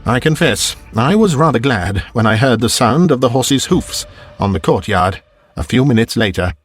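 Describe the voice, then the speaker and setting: A man narrating a Victorian ghost story as an audiobook in a measured, deliberate storytelling manner. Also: mid-range voice, lively intonation, slightly rough, no room sound, faint music behind.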